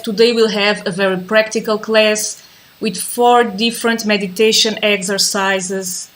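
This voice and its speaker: Calm woman teaching a live class. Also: mid-range voice, slight background noise. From Azores, Portugal. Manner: calm, patient, repeats herself while waiting for viewers. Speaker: yoga and mindfulness teacher. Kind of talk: live-streamed class introduction.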